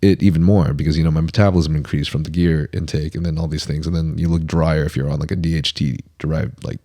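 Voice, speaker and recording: A man hosts a casual podcast. His voice is low and mellow, and his easygoing chat is full of dude and like. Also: steady tone, quick pace, no echo, clean studio sound. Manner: laid-back, uses dude and like a lot. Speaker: podcast host.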